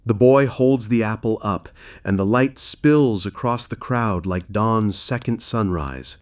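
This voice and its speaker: A man reading a scripted bedtime fairy tale in a soothing, measured way, as a storyteller would to help listeners sleep. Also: lowish voice. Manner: soothing, measured, unhurried delivery.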